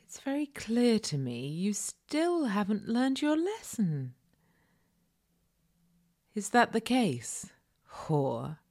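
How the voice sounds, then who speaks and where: A woman playing a breathy, obsessive dominant woman. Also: medium pitch, big pitch swings, slow, pauses often, darkish tone, exaggerated voice. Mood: possessive, menacing. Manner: breathy whispering, sighs and laughs. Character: possessive, sadistic dominant woman, obsessive and mocking. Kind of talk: audio roleplay.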